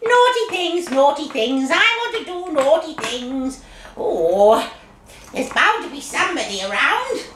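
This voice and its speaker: A woman's voice: high voice, very animated, unhurried, fairly bright tone, full character voice. A woman voicing a gleeful, mischievous witch for children, cackling and teasing in a singsong way. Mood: gleeful scheming. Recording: echoey room with faint noise.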